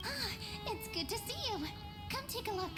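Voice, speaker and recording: A woman's voice: very high voice, expressive, moderate pace. A woman voicing a playful, dreamy young woman in a video game, speaking in a teasing, lilting, poetic way. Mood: playful, admiring. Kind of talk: video game character acting. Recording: long hall reverb under clear music.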